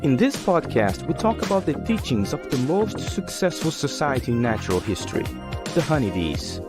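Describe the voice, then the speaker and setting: A man's voice: medium pitch, sing-song, moderate pace, vocal fry, over loud music. A man hosting a livestreamed podcast interview, speaking conversationally to his audience and guest. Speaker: podcast host.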